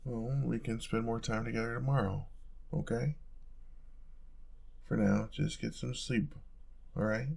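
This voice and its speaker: A young man playing an affectionate, playful roommate in an intimate audio roleplay, talking in a soft, casual, teasing way. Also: mid-range voice, steady tone, a little slow, vocal fry, long pauses, slightly rough, exaggerated voice. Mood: gentle, caring.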